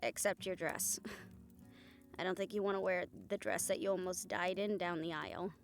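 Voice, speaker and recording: A woman's voice: lowish voice, steady tone, normal speed, vocal fry. A woman playing a caring, teasing young woman in a fantasy romance audio roleplay, with a playful, affectionate, conversational delivery. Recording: music behind the voice.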